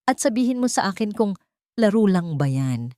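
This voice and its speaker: A woman's voice: mid-range voice, sing-song, normal speed, dark tone, no room sound, studio quality, lightly acted. A woman voicing a stern, determined grandmother in an audio drama story, firm, cold and accusing. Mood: calm, hard. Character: stern, determined grandmother confronting her daughter.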